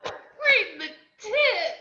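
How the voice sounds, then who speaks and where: A woman playing an excitable, whiny young cartoonish villainess in a script table read over a video call. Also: extremely high pitch, slow pace, roomy sound, full character voice. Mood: flustered, exasperated. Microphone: computer on a video call. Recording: noisy, muffled home video-call audio.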